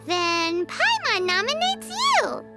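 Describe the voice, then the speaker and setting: A woman voicing a tiny, excitable, childlike fairy girl in a video game, chirpy and full of exclamations. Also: very high voice, slow, loud music behind the voice, full character voice. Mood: curious. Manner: chirpy, exclaiming, speaks of herself in third person.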